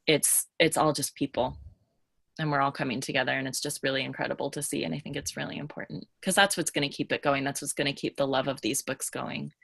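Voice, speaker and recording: A woman's voice: very deep voice, flat delivery, fast talker, gravelly, a bit bright, slightly rough, no echo, studio quality. A woman in casual podcast conversation, speaking earnestly and effusively as a co-host.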